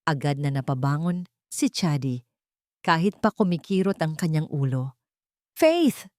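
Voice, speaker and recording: A woman with a fairly low voice narrates a scripted drama. She tells it in a dramatic storytelling style, recorded close and dry. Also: moderate pace, dry recording.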